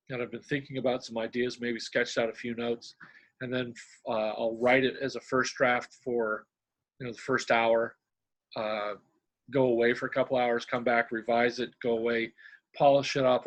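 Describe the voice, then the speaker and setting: A middle-aged man from Indiana, a humor columnist with a deadpan manner, sharing his work. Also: mid-range voice, poor audio. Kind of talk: reading a humorous diary-style column, then casual talk.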